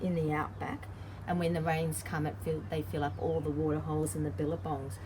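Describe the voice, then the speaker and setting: A woman's voice: low voice, background noise. A woman reading a picture book aloud to young children, adding simple explanations and drawing out key words. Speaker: children's story time host and early childhood educator.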